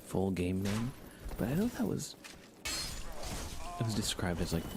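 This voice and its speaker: A man giving soft-spoken, relaxed and unhurried ASMR commentary while he plays a video game live. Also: on the low side, noisy background. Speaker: livestreamer playing a video game.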